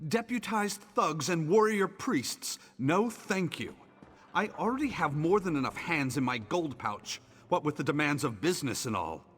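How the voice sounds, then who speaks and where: A smarmy, flirtatious merchant. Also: male voice, a little high, animated, moderate pace, fairly bright tone, slightly rough, big-room sound, faint music behind. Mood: seductive. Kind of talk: video game character dialogue.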